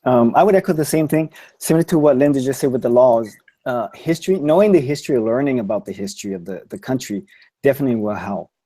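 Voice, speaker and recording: A man speaking as a guest on a panel, hesitant with many pauses and ums. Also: mid-range voice, expressive, dark tone. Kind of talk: casual panel discussion.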